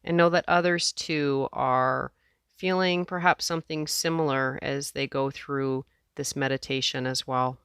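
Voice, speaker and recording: A woman teacher leading a guided meditation in a gentle, measured way, leaving space between phrases. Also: a bass voice, fairly even intonation, clean tone, no room sound, studio quality.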